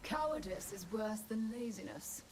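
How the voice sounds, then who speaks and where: A woman's voice: on the high side, lively intonation, moderate pace, lightly acted. An actress playing a ruthless cybernetic woman assassin in a video game, speaking in a clipped, imperious, emotionless way. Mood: commanding, disdainful. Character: cold, ruthless cybernetic woman assassin turned machine program. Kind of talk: video game character voice lines. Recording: echoing game audio over music. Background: music.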